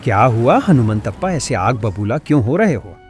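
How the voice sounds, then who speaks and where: A friendly village man voiced by a male actor talks at a middle pitch, advising like an old friend. It is animated fable dialogue with faint music behind it. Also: moderate pace, lightly acted. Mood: questioning. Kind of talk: animated moral story voice acting.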